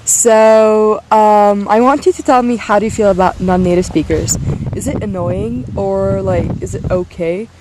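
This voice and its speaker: Young woman, student interviewer, friendly and steady, faint background noise. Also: mid-range voice, unhurried. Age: late teens. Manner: friendly, asks set questions.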